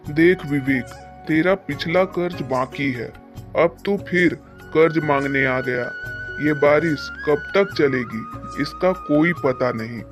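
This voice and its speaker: Cartoon moneylender, stern and dismissive, rich village boss, unhurried delivery, light music underneath. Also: male voice, a little high. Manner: scolding, dismissive. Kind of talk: moral-story cartoon dubbing. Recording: music behind the voice. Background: background music.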